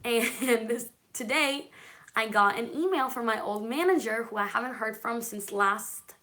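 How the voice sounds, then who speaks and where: A woman's voice: on the high side, unhurried, sharp tone. A woman from California whose first language is Hebrew, hosting a casual live stream and answering viewer comments in a chatty, reassuring way that often checks in with "okay?". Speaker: livestream host.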